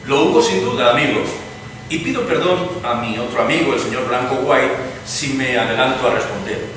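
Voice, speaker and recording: A man playing an eloquent, earnest Spanish liberal gentleman in a dramatized reading, measured and courteous. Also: mid-range voice, lightly acted. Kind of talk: dramatized stage reading, radio theatre. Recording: echoey hall from far off, noisy.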